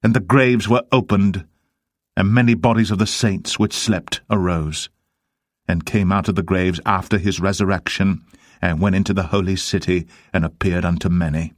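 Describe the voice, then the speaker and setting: A man narrating the Bible as a dramatised reading, in a solemn, measured manner. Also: low voice, steady tone, dry recording, clean studio sound.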